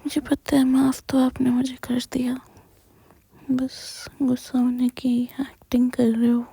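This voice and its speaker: A woman whispering an intimate girlfriend roleplay, speaking softly and directly to the listener up close to the mic. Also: high-pitched, completely flat delivery, measured pace, creaky, breathy edge.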